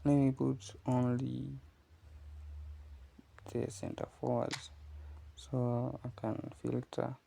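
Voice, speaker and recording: A man talks slowly and gravelly, his pitch staying flat as he explains step by step. His is Kiswahili, and he checks in with his viewers as he goes. The sound is recorded on a phone. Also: slight background noise. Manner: explains patiently to viewers, often asking "you see?". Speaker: gaming channel host. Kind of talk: casual gameplay tutorial commentary.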